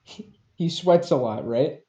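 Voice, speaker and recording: A man's voice: fairly high pitch, lively intonation, a little slow, warm tone, muffled recording. A man co-hosting a podcast in casual comedic banter, jokey and prone to acting out bits. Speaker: podcast co-host. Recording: roomy, echoing sound.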